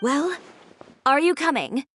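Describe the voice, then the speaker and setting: A woman playing a blunt, confident young woman with a deadpan manner in a video game. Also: sits high, slow pace, a little hiss or hum, full character voice. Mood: assertive. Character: blunt, self-assured young woman bent on payback. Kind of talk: video game character acting. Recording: music playing behind.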